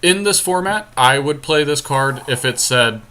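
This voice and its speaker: Bright-voiced man in casual game commentary. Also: a little high, animated, a little slow. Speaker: podcast co-host playing an online card game.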